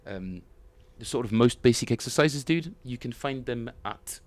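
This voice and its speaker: A man with a French accent, chatting casually and self-mockingly with viewers as he streams his bass practice, often chuckling. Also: lively intonation, moderate pace, dry recording. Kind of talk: casual livestream chat.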